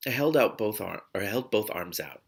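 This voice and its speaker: A man's voice: on the low side, animated, moderate pace, vocal fry, slightly rough. A man reading a novel aloud expressively and reacting to it with exuberant asides.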